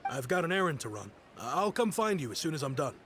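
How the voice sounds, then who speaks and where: An actor playing a proud yet kindly merchant in a video game, speaking in a friendly, reflective, softly rueful way. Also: male voice, on the high side, big pitch swings, quick pace, background noise. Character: nostalgic grown-up male merchant, proud but kindly. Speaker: businessman. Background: game music.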